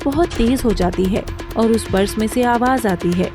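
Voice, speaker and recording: A woman narrating a children's cartoon moral story in a steady storytelling way. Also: fairly high pitch, moderate pace, music in the background.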